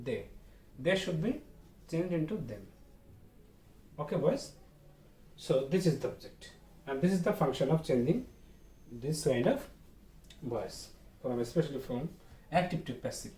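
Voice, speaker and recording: A man with a Bangladeshi accent teaches in a high, very animated voice. He repeats key phrases and puts questions that he answers himself, in the manner of a school lesson. The sound is echoey, as from a room. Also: a little slow, long pauses. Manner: didactic, repetitive, asks and answers own questions. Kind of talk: online grammar lesson. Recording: roomy echo, distant mic, faint noise.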